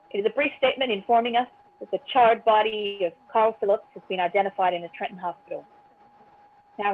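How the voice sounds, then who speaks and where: Through a muffled, phone-like line comes a formal female news announcer played by a woman in a vintage radio drama. She reads grave bulletins with official seriousness at a middling pitch. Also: natural voice.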